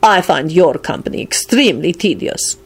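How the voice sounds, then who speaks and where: An imperious vampire lord from a storybook, acted out by a woman, formal in his speech and full of scorn. He sounds impatient and haughty, with widely swinging pitch. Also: animated, a little fast, breathy edge. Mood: haughty, scornful.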